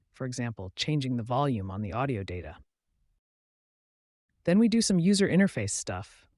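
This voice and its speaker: A man narrating a scripted tutorial as its host, in a measured, instructional way. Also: mid-range voice, dry recording, studio quality.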